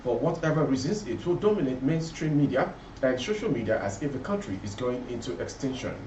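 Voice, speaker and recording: A man's voice: medium pitch, very animated, brisk. A Nigerian man reads the news from a script in a formal, declarative way. His voice reaches us through a dull recording, with plenty of room echo and a faint layer of noise behind him. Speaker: news reader. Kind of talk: scripted news bulletin read aloud. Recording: roomy, muffled, distant, faint background noise.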